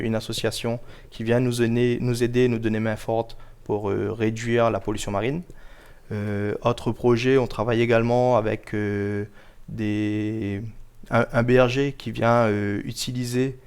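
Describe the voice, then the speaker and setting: A man giving explanatory answers as an interview guest, measured and formal, pausing often to choose his words carefully. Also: mid-range voice, darkish tone, smooth. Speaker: guest, local government project officer.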